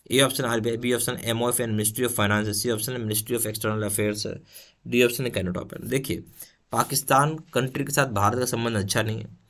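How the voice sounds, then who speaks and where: Low-pitched Indian man teaching. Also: a bit bright. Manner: instructional, checks in with frequent tag questions. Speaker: exam coaching teacher. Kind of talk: explanatory lesson reading questions aloud.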